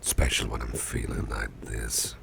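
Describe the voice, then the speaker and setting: An actor playing a sickly, gruff but genial boss in an ASMR roleplay, musing slowly and haltingly in a half-whisper close to the microphone. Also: male voice, level tone, fairly bright tone, slight background noise, heavily acted. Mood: weary, genial. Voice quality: very deep, gravelly, breathy half-whisper. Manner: slow, halting, folksy musing with pauses.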